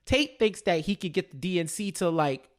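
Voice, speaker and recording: Male recap co-host, somewhat high-pitched voice, conversational and emphatic, doubles back on phrases to land the point. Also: moderate pace. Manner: animated retelling, repeats phrases for emphasis. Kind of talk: casual recap chat.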